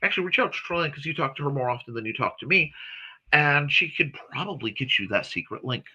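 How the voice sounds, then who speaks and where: A man's voice: on the high side, expressive, fast talker, pushed and bright, breathy edge, muffled recording. A man hosting a casual morning livestream, chatting with viewers in a rambling, chatty way and often correcting himself mid-sentence. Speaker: host of a morning talk stream.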